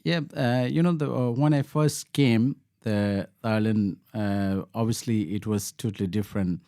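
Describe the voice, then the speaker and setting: A man from Bangladesh, a local councillor, answering interview questions in a halting way with many fillers and restarts. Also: lowish voice, warm tone, clean tone, no echo, studio quality.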